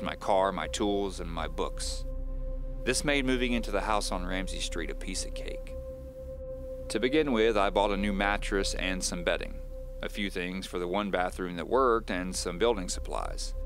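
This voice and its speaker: A brooding, wry divorced man telling his story onto tape in a low voice. He is measured and confiding, in the manner of an audio drama monologue, with faint music underneath. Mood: reflective.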